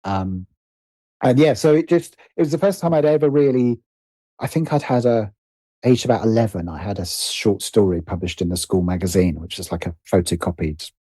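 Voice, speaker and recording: A man's voice: fairly low pitch, moderate pace, warm tone, no echo. A British man telling personal stories conversationally as an interview guest, hesitant and often interrupting himself as he thinks aloud.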